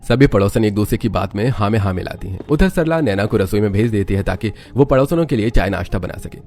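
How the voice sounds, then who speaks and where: A man's voice: lowish voice, expressive, fast talker, darkish tone, soft background music. A man narrating a scripted family story as a third-person storyteller.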